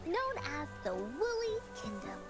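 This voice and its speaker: Loud music plays under a gentle storybook narrator in a video game. The voice is high and sweeps up and down expressively, as if telling a tale to young children. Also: female voice, huge pitch swings, unhurried, full character voice. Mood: storytelling.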